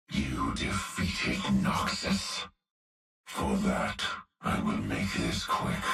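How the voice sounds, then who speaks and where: An actor playing a hulking, menacing male cyborg executioner, speaking in a slow, gravelly growl with heavy breaths. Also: extremely low pitch, a bit bright, exaggerated voice. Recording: roomy, distant game audio with music.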